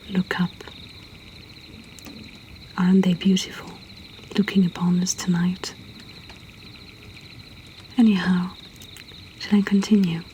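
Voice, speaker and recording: A woman reading poetry and stories in a breathy whisper, in a soothing, hushed ASMR style. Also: lowish voice, flat delivery, slight background noise. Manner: slow, soothing, hushed delivery. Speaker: poetry reader and host.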